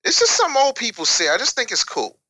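A man's voice: extremely high pitch, huge pitch swings, fast talker, sharp tone. A male interview guest explaining his opinions bluntly and wryly, with emphatic lists and rhetorical questions. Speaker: interview guest, author and radio producer.

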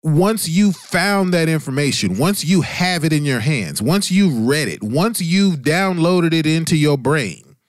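A man's voice: a little high, lively intonation, unhurried, no room sound, studio quality. A man with an American accent in a casual, opinionated discussion, speaking informally with slang and some cursing. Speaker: podcast panelist.

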